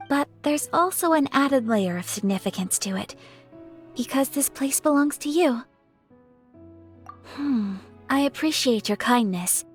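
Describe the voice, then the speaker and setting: A woman voicing a refined, gentle young noblewoman in a video game, speaking with formal courtesy and shy affection. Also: on the high side, natural voice. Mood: gracious, warm. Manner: formal, polite, softly hesitant phrasing. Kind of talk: scripted video game character voice acting.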